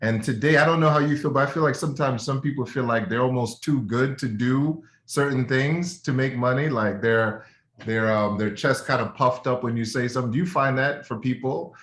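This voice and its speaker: A man's voice: mid-range voice, fairly quick, fairly bright tone, echoey room. A man hosting an interview, enthusiastic, cutting in with eager follow-up questions. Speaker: host.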